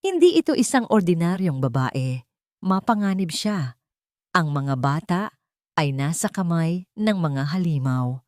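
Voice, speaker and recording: A woman's voice: on the low side, very animated, a little slow, darkish tone, no room sound, clean studio sound. A woman voicing a manipulative older rich father who urges his son on fervently in an audio story. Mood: fervent urging. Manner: fervent, urging.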